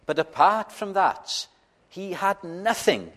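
Slow, earnest Welsh-speaking preacher. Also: male voice, sits high, animated, fairly bright tone. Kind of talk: sermon. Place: church.

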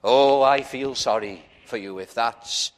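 A man whose first language is Welsh, preaching a sermon in a church in a slow, earnest way with frequent hesitations. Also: mid-range voice.

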